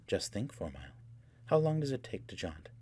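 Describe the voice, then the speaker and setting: A man voicing a haughty, rapturous young noblewoman in an audiobook, with a soft, husky voice and a languid, taunting delivery. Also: lowish voice, sing-song. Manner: theatrical, languid, taunting, with drawn-out words.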